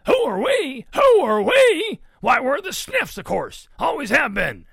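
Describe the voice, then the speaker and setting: Storybook papa pig, huge, bellowing, folksy, jovial, high-pitched and forceful. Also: wildly swooping pitch, measured pace, vocal fry, no echo, studio quality, heavily acted. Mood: blustery, excited. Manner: loud, folksy, exclamatory.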